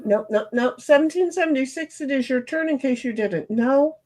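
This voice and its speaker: Chatty woman with a high, pitchy voice. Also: normal speed, a little mellow. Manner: chatty, rambling, self-described high pitchy voice. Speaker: streamer playing online cards with friends. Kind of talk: casual chat while gaming. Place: hot room at home.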